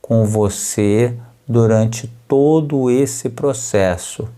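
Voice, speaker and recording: Very slow, mellow Brazilian man teaching. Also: mid-range voice. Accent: Brazilian Portuguese. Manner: deliberate, short phrases with pauses, clearly enunciated for learners. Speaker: podcast host and capoeira teacher. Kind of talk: slow educational narration for language learners.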